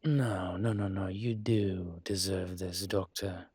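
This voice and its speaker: A man playing a courtly, possessive dragon mafia boss in an intimate audio roleplay, speaking in a suave, teasing, formal way with a husky voice. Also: sits low, steady tone, slow pace, darkish tone, no room sound, full character voice. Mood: gently reassuring. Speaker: voice actor.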